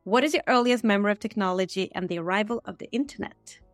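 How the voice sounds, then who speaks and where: A woman hosting an interview, reading scripted questions in a steady, measured way. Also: medium pitch, no echo, faint music behind. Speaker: podcast interviewer. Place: Stockholm.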